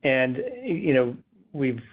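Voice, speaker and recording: A man speaking as a company executive, giving unscripted, halting explanations on a business call. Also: mid-range voice, lively intonation. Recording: slightly dull conference call audio.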